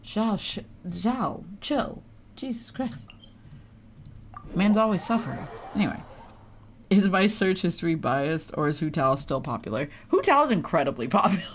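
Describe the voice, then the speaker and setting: A woman's voice: fairly low pitch, sing-song, moderate pace, a little hiss or hum. A woman live streaming a video game, chatting casually and playfully with viewers in informal, slangy language with some swearing. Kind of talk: casual gaming livestream chat with viewers. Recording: game music behind the voice. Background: game music and sounds.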